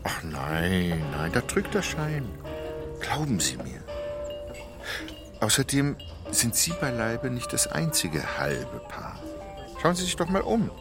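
An actor playing a coaxing, reassuring couples therapist in an audio drama, with a persuasive, soothing way of talking. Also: male voice, medium pitch, huge pitch swings, slow pace, over loud music. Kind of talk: scripted audio drama dialogue.

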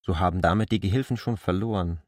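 A man acting a stubborn, reproachful lover in a literary radio play. His voice is low and closely recorded with very little room, and he sets out his words in measured, deliberate steps. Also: dry recording, clean studio sound, close to an everyday voice. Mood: earnest. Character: K., stubborn adult male lover, reproachful and earnest.

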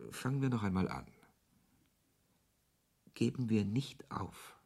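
A man's voice: animated, takes it slowly, darkish tone, exaggerated voice. An actor playing a brooding, ironic author who narrates his own story in a radio play, with a literary, sardonic manner. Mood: reflective narration. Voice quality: low, husky voice. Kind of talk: first-person radio play narration and dialogue.

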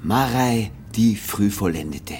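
A man's voice: lowish voice, animated, slow pace, darkish tone, slight background noise. An actor playing a dry, knowing village man who makes pronouncements in a radio drama.

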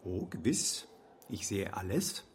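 A man's voice: low voice, sing-song, slow pace, slightly rough, full character voice. A man playing a smug, obtuse young accounts clerk in a satirical radio play, formal and mock-servile. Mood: unruffled, polite.

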